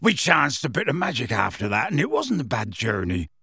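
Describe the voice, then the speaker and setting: Huge rustic half-giant, gravelly, husky, plain-spoken, warm-hearted, audiobook character. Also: male voice, mid-range voice, wildly swooping pitch, no room sound, studio quality, exaggerated voice. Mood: storytelling. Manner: folksy, ungrammatical, unhurried storytelling.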